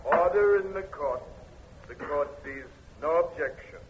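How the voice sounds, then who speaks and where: A stern judge with a fairly high voice. He is formal and commanding in old radio-drama fashion, heard on a dull recording with faint noise. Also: lively intonation, measured pace. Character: stern, official male courtroom judge. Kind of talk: 1950s radio crime drama acting.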